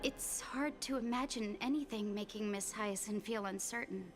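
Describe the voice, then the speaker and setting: A woman's voice: mid-range voice, moderate pace, close to an everyday voice. An actress playing a shy, humble young woman apprentice medic in a video game, hesitant and polite. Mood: puzzled. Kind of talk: scripted game voice acting. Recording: music behind the voice. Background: background music.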